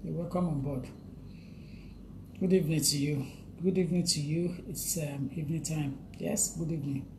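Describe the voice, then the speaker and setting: A woman with a Nigerian English accent talks to her live-stream audience in a low voice, greeting viewers one by one and repeating her phrases. She speaks loosely and chattily into a phone-type mic. A faint hiss sits behind her. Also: steady tone, moderate pace. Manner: chatty, repeats phrases, reads out viewer names, laughs often. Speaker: livestream host.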